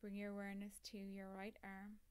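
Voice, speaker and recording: Woman calmly guiding meditation, even pitch. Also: clean tone. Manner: calm, soothing, measured with long pauses. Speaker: yoga nidra teacher. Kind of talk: guided meditation, scripted instruction.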